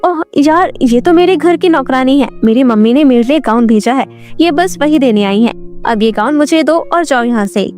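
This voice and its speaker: Lady doing a snooty young college woman, high and sharp, storybook style, music behind. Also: expressive, normal speed. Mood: boastful. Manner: haughty, sharp. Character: arrogant, snappish young college woman ashamed of her family.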